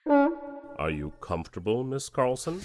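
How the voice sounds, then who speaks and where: An actor playing a pompous, menacing government interrogator, formal and domineering, in an audio drama. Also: male voice, mid-range voice, huge pitch swings, unhurried, over music. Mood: cold, controlled.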